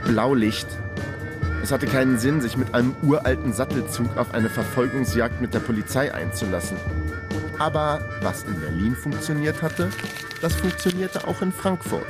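A male actor plays a wry, luckless investigator in a radio crime drama. He delivers his lines in a laconic, deadpan manner at a middling pitch, with music clearly behind him. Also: normal speed, natural voice. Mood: laconic, matter-of-fact. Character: wry, hapless male investigator narrating his own case. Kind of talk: German radio crime drama, first-person narration.

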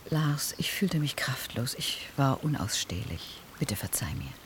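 An actress playing a fragile, dreamy woman in an intimate radio drama, hushed, breathy and wondering. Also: rumbling low, big pitch swings, unhurried, in a whisper, full character voice. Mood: dreamy, tender. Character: fragile, dreamy woman feeling a strange change. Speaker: actor in a radio play. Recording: rain noise behind the voice. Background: rain.

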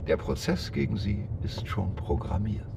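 An actor playing a cynical, power-hungry visionary politician in a radio drama, speaking with grandiose, pompous oratory. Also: male voice, fairly low pitch, animated, normal speed, gravelly, noisy background. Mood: coldly threatening. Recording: loud music underneath.

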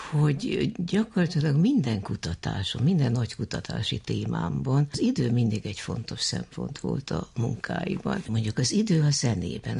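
Elderly woman, very raspy, gravelly, deep for a woman, reflective interview talk. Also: darkish tone. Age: 80s. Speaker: sociologist interviewee.

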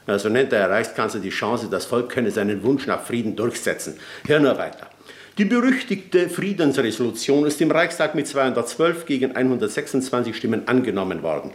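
An actor playing a dry, pragmatic, ironic politician and confidant in a radio drama. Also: male voice, medium pitch, animated, brisk, reverberant, lightly acted. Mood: reading aloud, wry. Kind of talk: German radio drama dialogue.

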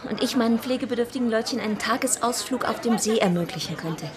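An actress playing a tender, wistful deaconess who is an inspector's partner, in a radio drama. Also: female voice, fairly high pitch, fast talker, a crowd in the background, lightly acted. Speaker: actress, also narrating the story. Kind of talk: German radio drama dialogue and narration.

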